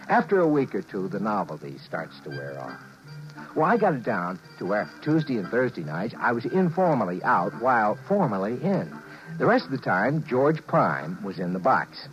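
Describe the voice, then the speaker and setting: A man's voice: medium pitch, big pitch swings, normal speed, close to an everyday voice. An American man playing a flustered, sheepish suburban husband who narrates and stammers through his misadventure in 1950s radio drama style. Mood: wry. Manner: stammering when flustered, exclaiming. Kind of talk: 1950s radio drama acting with wry first-person narration. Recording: dull 1950s radio recording with music.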